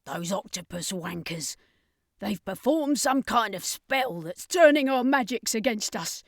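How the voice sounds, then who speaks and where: A woman's voice: medium pitch, lively intonation, unhurried, no room sound, clean studio sound. Woman voicing a brusque, emphatic circus woman. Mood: angry, urgent. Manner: emphatic, urgent. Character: brusque, angry female explainer of doomed magic.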